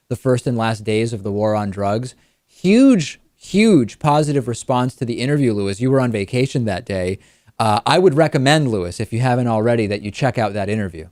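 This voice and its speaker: A man's voice: mid-range voice, a little mellow, no room sound. Dry, close-sounding male talk show host. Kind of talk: conversational political talk show presenting. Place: studio.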